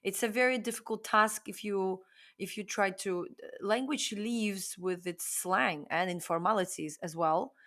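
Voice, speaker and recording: A woman co-hosting a casual podcast conversation, thinking aloud in a reflective way with frequent pauses. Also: mid-range voice, a bit bright. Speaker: podcast co-host.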